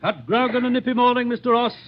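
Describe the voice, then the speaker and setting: High-pitched folksy tavern keeper. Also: male voice, fast talker. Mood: curious, folksy. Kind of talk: 1950s radio drama acting. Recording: muffled, faintly noisy 1950s radio.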